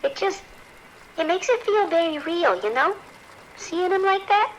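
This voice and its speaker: A woman's voice: very high voice, expressive, a little slow, a little hiss or hum, rough recording. A woman playing a worried, kind-hearted hotel worker in an audio drama, hesitant and familiar in her pleading. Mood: worried, sympathetic. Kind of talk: naturalistic audio drama acting.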